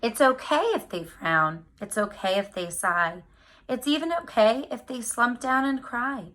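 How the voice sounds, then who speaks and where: A woman reading a picture book aloud to children, speaking to them directly with animated, drawn-out emphasis on key words. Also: on the high side. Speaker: read-aloud storyteller for children.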